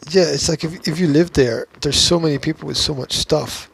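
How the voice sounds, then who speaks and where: A man co-hosting a casual podcast, talking in a halting way and repeating words as he thinks aloud. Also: a little high, creaky, husky. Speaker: podcast co-host. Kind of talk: casual chat.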